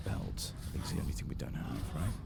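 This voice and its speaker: A man giving soft-spoken, often whispered ASMR commentary as he plays a game, muttering to himself. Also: extremely low pitch, big pitch swings, fast talker, gravelly, very noisy. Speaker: gaming streamer. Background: game music and sounds.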